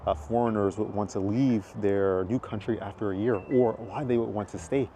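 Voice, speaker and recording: A man's voice: fairly low pitch, steady tone, normal speed, warm tone, a little hiss or hum. An American man vlogging outdoors while walking, talking in a rambling, chatty way with lots of fillers. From United States. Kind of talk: casual walking vlog. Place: outdoors in a city park walkway.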